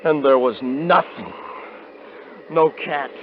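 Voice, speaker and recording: An actor playing a frightened young hunter who also narrates, in a 1950s radio drama, stammering and panicky. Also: male voice, medium pitch, slow pace, a little hiss or hum, close to an everyday voice. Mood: tense narration. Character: lone young male hunter, frightened, haunted. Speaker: narrator and lead character. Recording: dull old radio broadcast.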